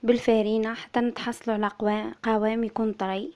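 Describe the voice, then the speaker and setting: A woman with an Algerian Arabic accent who is slightly hoarse from illness, calmly explaining a recipe as a cooking-channel host. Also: on the high side, fast. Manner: soft, subdued, steady explaining. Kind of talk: recipe tutorial voice-over.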